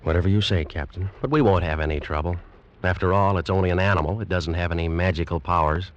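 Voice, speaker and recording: Hard-boiled American adventurer played by a man: low voice, determined, terse, dramatic, vintage radio suspense style on a dull recording. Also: steady tone, fast talker, lightly acted. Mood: firm, confident. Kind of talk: old-time radio drama acting and first-person narration. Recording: muffled vintage radio with music.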